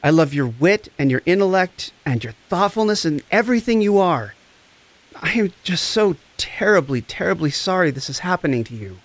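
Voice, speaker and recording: A man's voice: sits high, expressive, normal speed, no room sound. A man acting the part of a compassionate recovery coach in an audio drama, tender, soothing and earnest. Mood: tender, consoling. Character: compassionate, gentle, encouraging recovery coach and friend.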